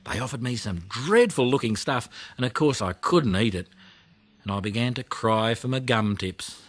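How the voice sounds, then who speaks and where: A man's voice: medium pitch, expressive, normal speed, no room sound, natural voice. Guy doing a motherly lady koala from a kids storybook, chatty n plaintive, really swoopy delivery. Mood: plaintive, indignant. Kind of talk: children's audiobook character reading.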